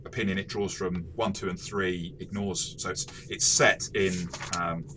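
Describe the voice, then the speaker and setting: A man co-hosting a casual game review discussion, thoughtful and halting, often rephrasing mid-sentence. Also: low-pitched, fairly even intonation, noisy background. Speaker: co-host of a game review show.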